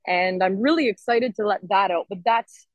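A woman's voice: medium pitch, normal speed, dry recording, muffled recording. A woman singer-songwriter chatting casually and enthusiastically as an interview guest. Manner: enthusiastic, expressive.

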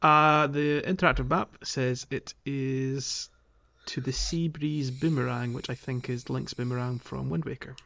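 A man's voice: mid-range voice, steady tone, measured pace, gravelly, pushed and bright. A man co-hosting a podcast, chatting casually about a video game. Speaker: podcast host. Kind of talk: casual gaming podcast chat. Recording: dull lo-fi audio with music behind. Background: music.

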